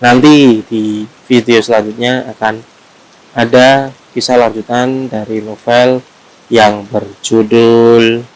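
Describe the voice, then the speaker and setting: A man's voice: mid-range voice, level tone, takes it slowly, long pauses, poor audio. A man with a Javanese accent reading a horror story aloud unrehearsed, with small slips and restarts, then chatting casually to his listeners. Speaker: horror story reader and channel host.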